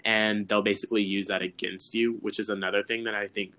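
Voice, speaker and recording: A man's voice: on the low side, little pitch movement, a little fast, sharp tone. A young man speaking candidly in a group discussion, informal and hesitant, with "like" in nearly every phrase.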